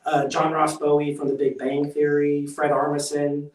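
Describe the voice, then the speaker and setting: A man, a drummer being interviewed, chatting casually in an excitable, halting, slangy, rambling way. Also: on the high side. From United States. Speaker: band drummer, interview guest. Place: echoey room. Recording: echoey room, distant from mic.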